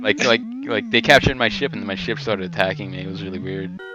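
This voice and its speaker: A man's voice: fairly low pitch, quick pace, highs cut off, over music. A man co-hosting with casual, incredulous banter, riffing informally while he plays a game. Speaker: co-host reacting to the reading.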